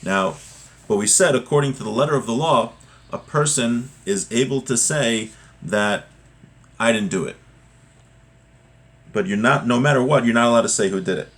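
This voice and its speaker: A man's voice: mid-range voice, normal speed, pauses often, fairly bright tone. A man giving an informal religious class, reading from a text and explaining it point by point, often rephrasing and repeating. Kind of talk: informal text lesson, reading aloud then translating and explaining.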